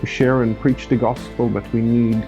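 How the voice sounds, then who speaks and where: A man's voice: mid-range voice, little pitch movement, measured pace, over music. A Swedish man in his thirties with a Swedish accent and native Swedish, a pastor speaking earnestly in a personal documentary interview. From Sweden, countryside. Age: 30s. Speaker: pastor and church planter.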